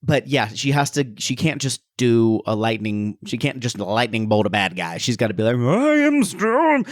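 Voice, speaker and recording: A man's voice: fairly low pitch, normal speed, dry recording. Joking male podcast host, dry close sound. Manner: joking, riffs with mock voices. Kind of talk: casual comedic pop culture discussion.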